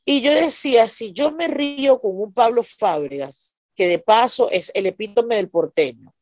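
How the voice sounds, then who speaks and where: A woman's voice: a little high, normal speed, darkish tone, no room sound, studio quality. A woman with a Venezuelan accent from Maracaibo, talking as a podcast guest in a conversational, explanatory way and often checking that she is understood. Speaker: podcast guest, stand-up comedian. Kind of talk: conversational interview storytelling.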